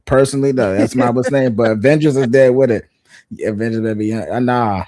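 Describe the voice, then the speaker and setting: A man's voice: mid-range voice, a little fast, mellow, dry recording. Man in his thirties, podcast host, casual slangy chat, repeats lines for emphasis, dry close sound. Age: 30s. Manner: laid-back, slangy, repeats himself for emphasis. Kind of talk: casual pop culture chat.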